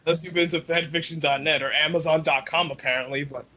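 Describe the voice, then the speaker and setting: A man co-hosting a casual group podcast, chatting in a jokey, animated way and swearing freely. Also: a little high, quick pace. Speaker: podcast co-host. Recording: dull lo-fi voice chat.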